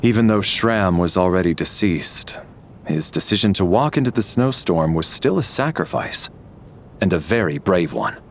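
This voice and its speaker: An actor playing a composed, principled military man with a kind streak, measured and formal, in a game dub. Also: deep voice, lively intonation, a little hiss or hum. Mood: solemn. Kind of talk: English game dub voice acting. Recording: music under the voice.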